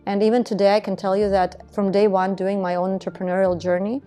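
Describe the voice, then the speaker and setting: A woman's voice: mid-range voice, flat delivery, fairly quick, warm tone, faint music behind. A woman, speaking earnestly and reflectively as a business founder in a documentary interview. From Estonia. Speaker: entrepreneur, beauty business founder.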